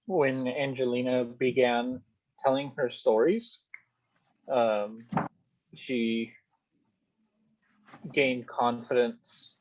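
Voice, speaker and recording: Male student, slow pace, halting answers, thoughtful pauses, dull muffled recording. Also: medium pitch, steady tone, darkish tone. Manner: hesitant, pausing to think.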